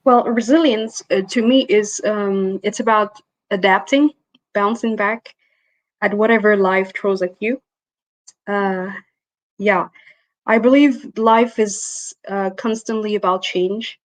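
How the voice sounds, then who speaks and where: A woman's voice: mid-range voice, fairly even intonation, slow, no echo, rough recording. Woman in her thirties, dry sound, interview guest, laughs easily. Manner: thoughtful, laughs easily, with restarts. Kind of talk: interview answers.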